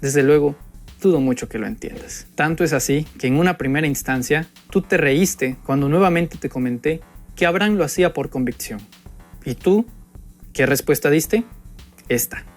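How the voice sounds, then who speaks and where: A man's voice: a little high, normal speed. A young man with a Mexican accent giving a pointed, sarcastic political monologue full of rhetorical jabs at an opponent. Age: young adult. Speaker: political commentator. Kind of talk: spoken opinion monologue addressed to an opponent. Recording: background music under the voice.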